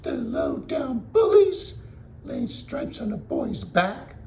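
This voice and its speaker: A feisty, motherly storybook girl who trains bears , played by a man in a very high, shrill falsetto. She fusses and scolds in folksy phrases, loud and swooping, over some background noise. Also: huge pitch swings, takes it slowly, creaky, full character voice. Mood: indignant.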